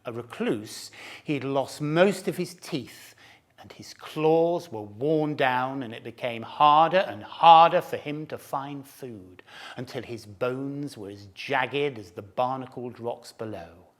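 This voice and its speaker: A man narrating a storybook tale expressively, dropping at times into hushed whispers. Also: medium pitch, slow.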